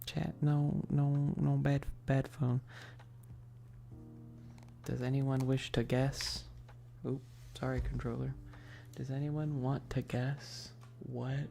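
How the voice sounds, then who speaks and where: A livestream host chatting casually and informally in a soft, close whispery ASMR delivery into a binaural mic, rambling with teasing asides to chat. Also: mid-range voice, little pitch movement, takes it slowly, vocal fry, soft background music. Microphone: close binaural microphone. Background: tapping and keyboard clicking.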